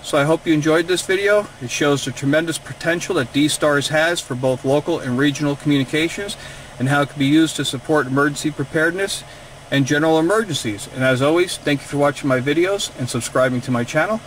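A man presents a hands-on instructional demonstration as a video host. His voice sits a little high. He is recorded inside a vehicle, with faint fan and road-vehicle noise behind him. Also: quick pace, low-quality recording. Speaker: radio hobbyist video host.

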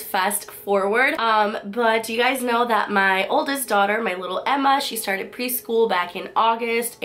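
A woman's voice: a little high, fairly bright tone. A young woman chatting through a casual haul vlog indoors, her voice still recovering, bubbly and repeating words for emphasis. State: recovering from lost voice. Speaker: fashion haul vlogger. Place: bedroom with holiday tree and boxes, indoors.

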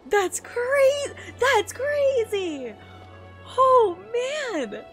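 A woman streamer doing an animated live reaction, exclaiming excitably and swearing freely. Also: very high voice, very slow. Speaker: streamer reacting to and reading a manga aloud. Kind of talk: animated live reaction and dramatic read-aloud. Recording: music playing behind voice. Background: music.

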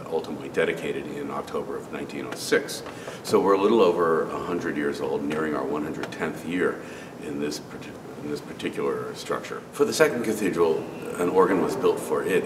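A middle-aged man, a cathedral organist, talking casually through a spoken tour with relaxed fillers and restarts. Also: a bass voice, distant mic. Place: large stone cathedral, organ console. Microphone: camera at medium distance. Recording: roomy cathedral reverb, off-mic, air-conditioning hum.

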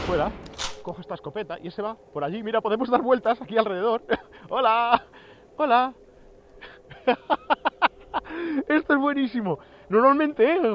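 A man in his thirties with a Spanish accent from Spain, giving excited commentary while playing a virtual reality game outdoors, exclaiming and laughing at his viewers. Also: very high-pitched, highs cut off. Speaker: VR gaming youtuber. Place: outdoors on an empty road at dusk. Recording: music under voice. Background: background music.

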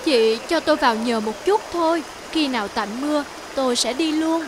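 A woman voicing a pushy, ungrateful female hedgehog in an animated fairy tale. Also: sits high, normal speed, background noise, close to an everyday voice. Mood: pleading. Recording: music under the voice.

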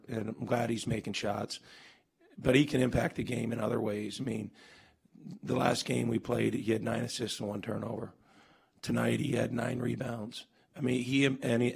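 A man's voice: medium pitch, gravelly, darkish tone, breathy edge. A man in his forties, a basketball coach, answering reporters' questions after a game in earnest, emphatic bursts full of restarts and fillers, seated at a table mic in a press room. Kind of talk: postgame press conference. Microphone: table mic on stand.

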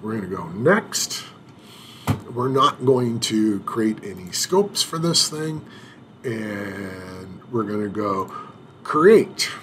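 A man with a fairly low voice talks slowly through a computer walkthrough, stopping to hum and restart as he clicks along. A faint hiss sits under his voice at the desk mic. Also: expressive, a bit bright. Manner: unhurried, hesitant, many filler sounds and restarts. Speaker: IT administrator demonstrating software setup. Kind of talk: screen-recorded tutorial walkthrough.

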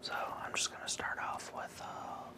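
A man in his thirties doing ASMR, hesitant, moving between a whisper and a soft voice in a small room indoors. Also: normal speed. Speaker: ASMR video host.

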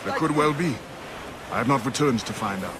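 A man plays a proud, guarded seafaring assassin in a video game. His voice is mid-pitched, grave and formal, each phrase measured. A faint ambience lies behind it. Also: expressive, noisy background, close to an everyday voice. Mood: reflective. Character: stern, guarded freed-slave sailor turned assassin. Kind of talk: video game cutscene acting.

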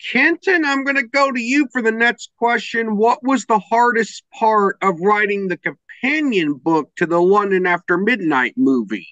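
A man's voice: high-pitched, moderate pace, hardly any pauses, sharp tone, no room sound. A man hosting a podcast and putting questions to guests, on a slightly lo-fi microphone. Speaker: podcast host. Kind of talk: reading out interview questions.

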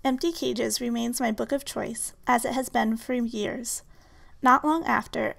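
Creaky-voiced woman reading a tribute. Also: fairly high pitch. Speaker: philosophy professor. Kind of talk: scripted spoken tribute.

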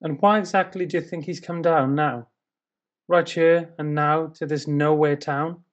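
A man's voice: fairly high pitch, steady tone, measured pace, lo-fi sound, natural voice. A British man playing a grumpy, sleep-deprived, sceptical husband in a dramatic verse reading, grumbling with sarcasm. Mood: exasperated.

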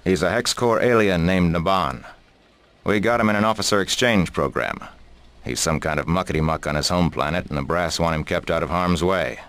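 Deep-voiced, blunt military commander. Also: male voice, a little fast, fairly bright tone. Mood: matter-of-fact. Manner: clipped, authoritative. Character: stern older male military commanding officer, blunt. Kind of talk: video game cutscene voice acting.

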